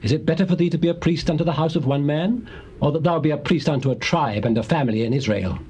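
Pushy ancient tribesman played by a man, commanding Bible drama, raised pitch, faint hiss. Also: fast, a little mellow, lightly acted. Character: coercive, persuasive male Danite tribesman. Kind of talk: dramatized King James Bible acting. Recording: hissy old cassette dramatization.

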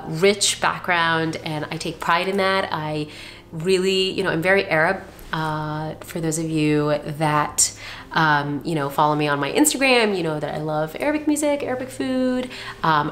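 A woman's voice: fairly low pitch, a little hiss or hum. A young adult woman, chatting casually and informally to viewers as a friendly vlog host. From Dubai and Northern California. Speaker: vlog host, surgery resident. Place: indoor room by a window.

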